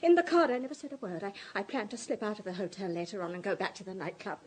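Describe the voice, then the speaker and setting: An actress in a radio drama playing a distraught, self-pitying society woman, halting and stammering with emotion. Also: medium pitch, darkish tone, slightly rough, close to an everyday voice. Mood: agitated, confessional.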